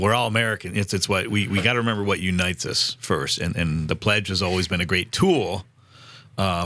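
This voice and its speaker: A man in his fifties talks earnestly in a casual conversation. His voice sits very low yet sounds bright and forceful, and the recording is close and dry. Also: no echo. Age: 50s. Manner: earnest, conversational, with many restarts. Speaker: host.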